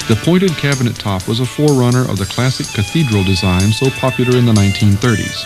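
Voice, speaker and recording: A man's voice: mid-range voice, fast talker, music in the background. A man reading scripted documentary narration as the narrator.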